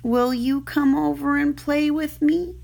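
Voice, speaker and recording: A woman's voice: sits high, fairly even intonation, measured pace, gravelly, darkish tone, a little hiss or hum, voice pushed up. A woman voicing a lonely young male pig who pleads earnestly, in a storybook reading. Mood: pleading.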